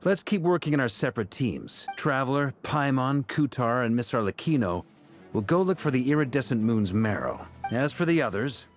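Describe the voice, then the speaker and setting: A man's voice: mid-range voice, lively intonation, normal speed, soft background music, lightly acted. An actor playing a confident, easygoing male leader in an anime-style game, casual and reassuring. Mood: relaxed, assured.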